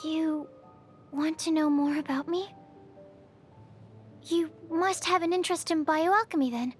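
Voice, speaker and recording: A woman's voice: very high voice, fairly even intonation, unhurried. A shy young female alchemist from a video game. She is timid and earnest, picking precise, bookish words with a nervous hesitance. Music plays behind her. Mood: polite, bashful. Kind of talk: video game character voice lines.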